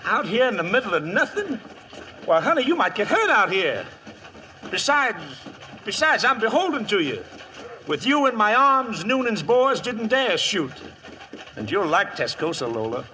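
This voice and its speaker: An American actor playing a sadistic, taunting outlaw gang leader in a western radio drama, drawling with cackling glee. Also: male voice, sits high, very animated, moderate pace, sharp tone, slight background noise, heavily acted. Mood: smug.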